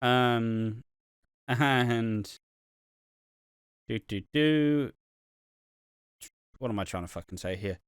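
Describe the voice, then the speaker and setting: A man's voice: mid-range voice, very slow, long pauses, clean tone, no room sound, clean studio sound. A man co-hosting a casual podcast about a TV soap, swearing freely and acting out characters' lines. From United Kingdom. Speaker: podcast co-host.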